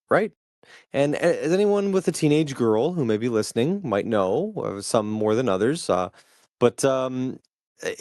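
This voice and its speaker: A man co-hosting a podcast in a casual, halting, rambling way, thinking aloud as he goes. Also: medium pitch, darkish tone, dry recording, studio quality. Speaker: podcast co-host.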